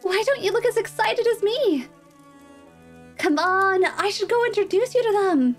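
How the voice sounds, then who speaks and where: A woman playing an earnest, idealistic, excitable young female adventurer in a fantasy roleplay, with a slightly nasal voice. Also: extremely high pitch, a little slow, faint music behind, pitched above the performer's own voice. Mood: excited. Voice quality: nasal from a cold. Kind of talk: roleplay audio drama, cute.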